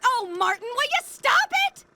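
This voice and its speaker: A woman's voice: very high-pitched, very animated, normal speed, vocal fry, sharp tone, dry recording, heavily acted. An actress playing a doting, fussy middle-aged bee mother, proud and scolding, in a cartoon game.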